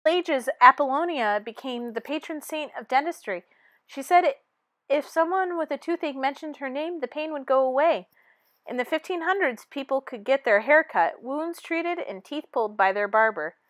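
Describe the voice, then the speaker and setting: A woman reading a children's picture book aloud in an expressive, teacherly manner. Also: high-pitched, moderate pace, no echo. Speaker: storybook reader.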